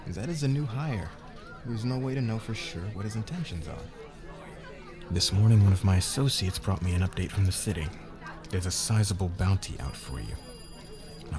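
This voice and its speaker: A man acts out a demanding male boss in an audio roleplay. His voice sits low and stays measured and commanding, with a formal polish. Music plays clearly underneath him. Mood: stern. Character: demanding, controlling fashion company boss, a man. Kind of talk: ASMR audio roleplay acting.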